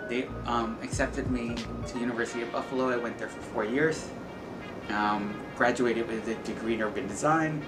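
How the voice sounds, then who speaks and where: A man giving informal, candid interview answers in a hesitant, rephrasing way. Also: medium pitch. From El Salvador until age seven, then New York state, US. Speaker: community center workforce and tech staffer, interviewee. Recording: echoey room with loud music bed. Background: loud music.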